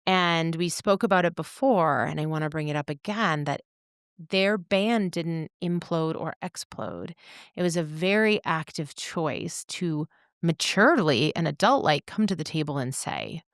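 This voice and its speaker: A woman with a low-pitched voice speaks in a dry, close recording. Her conversational commentary is reflective and measured, with thoughtful phrasing suited to a coach co-hosting a discussion. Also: no echo. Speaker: podcast co-host and coach.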